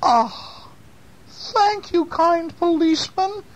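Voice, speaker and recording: A woman voicing a fretful, tearful old lady from a children's storybook, with a wavering, drawn-out delivery. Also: lively intonation, heavily acted, poor audio. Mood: relieved, grateful. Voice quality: high, wavering.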